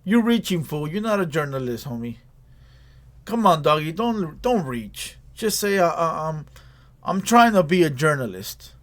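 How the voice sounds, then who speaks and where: Male reaction host, slightly high voice, blunt and slangy, casual commentary that hammers each point. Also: very animated, measured pace. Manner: blunt, repetitive, slangy lecturing.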